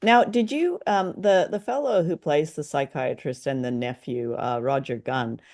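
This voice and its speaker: A podcast co-host chatting casually about an old radio drama, lively and quick to laugh, leading with questions. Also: mid-range voice.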